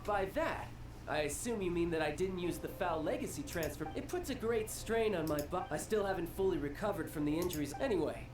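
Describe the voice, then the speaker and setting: An actor playing a confident, theatrical young military commander in a video game, stern yet teasing. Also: male voice, high-pitched, animated, a little fast. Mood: earnest, brotherly. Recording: roomy, distant game audio under music. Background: game music.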